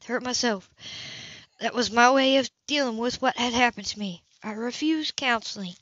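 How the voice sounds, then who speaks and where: A woman voicing a tough, wounded woman of twenty-eight in an audiobook, quietly and matter-of-factly recounting. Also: on the high side, normal speed, no room sound, natural voice. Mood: bitter, controlled. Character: tough, wounded 28-year-old woman, controlled and unflinching. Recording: slightly dull home recording.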